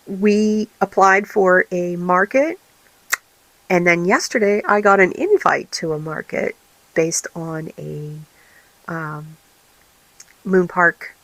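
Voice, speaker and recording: A woman hosting a casual vlog, her voice raspy and tired, rambling with many fillers and restarts. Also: on the low side, a bit bright, dry recording, low-quality recording. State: tired, recovering from illness. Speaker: vlog host and small craft business owner. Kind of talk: casual chatty vlog update.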